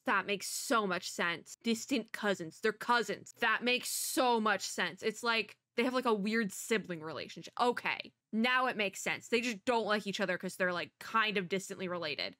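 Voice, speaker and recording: A woman's voice: mid-range voice, moderate pace, few pauses, pushed and bright, dry recording, clean studio sound. A woman streamer giving a casual, chatty reaction in a bubbly, rambling, self-deprecating way. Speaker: streamer reacting to a game trailer.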